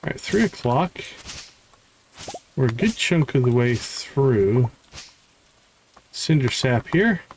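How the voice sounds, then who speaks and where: A man's voice: medium pitch, expressive, slow, long pauses, slight background noise, rough recording. A man doing casual live commentary as he plays a game, chatting in a friendly way with viewers. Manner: friendly, greets viewers by name. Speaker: livestream host playing a farming game.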